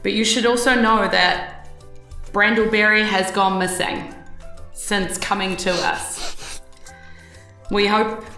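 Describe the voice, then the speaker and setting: A woman with a New Zealand accent narrating a tabletop game as game master in a relaxed, rambling way with plenty of fillers. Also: mid-range voice, slow, long pauses, roomy sound, faint music behind.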